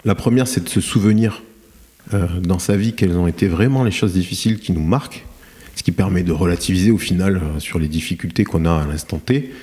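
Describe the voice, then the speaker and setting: Middle-aged man, deep and warm, halting and reflective interview guest. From France. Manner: hesitant, many fillers and restarts. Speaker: guest, cement plant director. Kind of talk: podcast interview, reflective storytelling.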